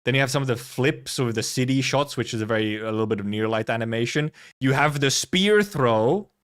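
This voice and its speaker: A man's voice: mid-range voice, brisk, a bit bright, clear, resonant tone. A man streaming a casual game trailer reaction, rambling playfully, repeating himself and swearing casually. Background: faint music.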